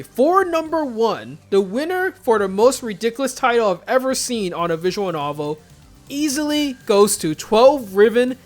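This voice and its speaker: Very animated video host with musical backing. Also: medium pitch. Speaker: YouTube host. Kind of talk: scripted video commentary on visual novels.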